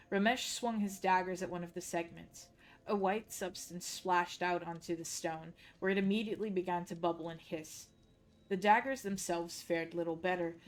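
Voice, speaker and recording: A Dutch woman, a native Dutch speaker, reading game lore aloud as a hushed, measured, suspenseful story. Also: fairly low pitch. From Netherlands.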